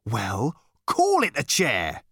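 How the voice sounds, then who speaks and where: A man's voice: medium pitch, huge pitch swings, drawn-out words, slightly rough, no room sound, clean studio sound, exaggerated voice. A man voicing a pompous, testy management consultant with a haughty, officious manner in a comic story reading.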